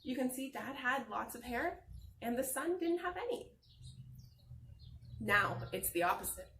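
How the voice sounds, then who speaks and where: A woman's voice: sits high, sing-song, unhurried, a bit bright, roomy sound, slight background noise. A woman doing an interactive picture-book read-aloud for children, encouraging them with questions and praise.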